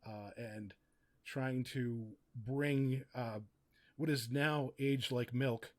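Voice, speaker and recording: A man's voice: mid-range voice, steady tone, very slow. Thoughtful middle-aged man being interviewed. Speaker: podcast guest, audio drama writer and producer. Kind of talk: casual, reflective interview talk.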